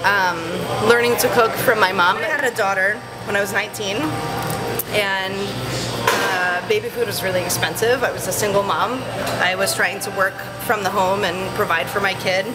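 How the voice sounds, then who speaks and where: A woman chef in her kitchen telling her story in a relaxed, conversational way. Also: mid-range voice, normal speed, loud music behind the voice, rough recording. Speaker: bagel chef and co-owner. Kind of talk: personal storytelling interview.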